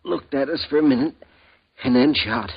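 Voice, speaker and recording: An actor playing a shaken, wounded frontier townsman with rough country grammar in a 1950s radio drama. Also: mid-range voice, normal speed. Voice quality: husky, ungrammatical country speech. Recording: dull old radio broadcast.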